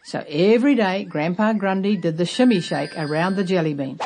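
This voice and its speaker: A woman narrating a children's story aloud as a storyteller, expressive and emphatic. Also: on the low side, fairly quick, slight background noise.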